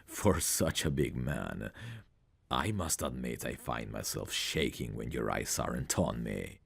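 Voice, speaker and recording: Man acting a very deep, confident, flirtatious fighter. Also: vocal fry, fairly bright tone, husky, dry recording, full character voice. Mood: tender, seductive. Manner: slow, deliberate, teasing and intimate. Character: big confident champion fighter, smooth, flirtatious, guarded about his past. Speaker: voice actor. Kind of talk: intimate ASMR audio roleplay.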